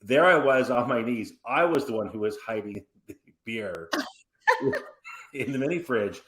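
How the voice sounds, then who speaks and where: A man in his forties hosting a podcast, telling stories in an animated, rambling way with many restarts and asides. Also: mid-range voice, measured pace. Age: 40s. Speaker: podcast host. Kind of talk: casual conversational interview. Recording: roomy echoey room.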